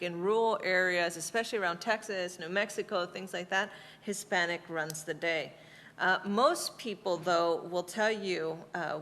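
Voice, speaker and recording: A woman's voice: mid-range voice, a bit bright. A woman researcher on an academic panel, explaining her work at length, thoughtful, with frequent fillers and restarts. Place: lecture hall. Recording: long hall reverb.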